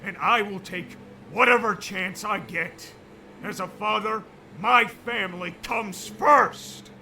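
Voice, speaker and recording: A man voicing a desperate middle-aged father who shouts and pleads frantically. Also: high-pitched, slow, slight background noise, voice pushed up, heavily acted.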